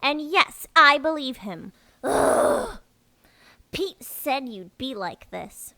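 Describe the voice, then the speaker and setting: Female mole laborer, played by an actress, earnest and defensive, high forceful voice, audio drama. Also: big pitch swings, slow pace, dry recording, exaggerated voice. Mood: indignant. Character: earnest, gullible, indignant young female moleperson worker.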